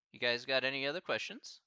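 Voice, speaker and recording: A man's voice: medium pitch, sharp tone, clean tone, dry recording, lo-fi sound. A man with a Midwestern American accent, a math instructor chatting casually with his online class, thinking aloud with lots of fillers.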